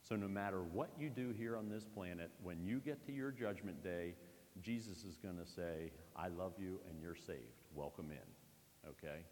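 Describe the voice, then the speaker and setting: A man from Pennsylvania preaching a conversational sermon in a church, with lively asides to the congregation. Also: deep voice, normal speed, in a large hall, a little hiss or hum. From Pennsylvania, USA. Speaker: pastor.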